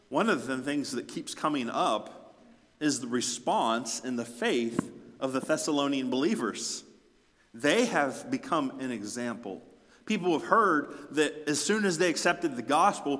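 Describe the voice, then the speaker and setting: A man preaching a sermon as a pastor, speaking emphatically with repeated phrases and swelling from quiet to forceful. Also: mid-range voice. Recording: echoey hall with long reverb.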